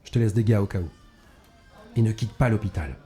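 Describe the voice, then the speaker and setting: A man playing a gruff, irritated police detective in an audio drama, curt and demanding, swearing as he gives orders. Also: lowish voice, fairly quick, mellow, soft background music, lightly acted. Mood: irritated, hurried. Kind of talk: French audio fiction acting.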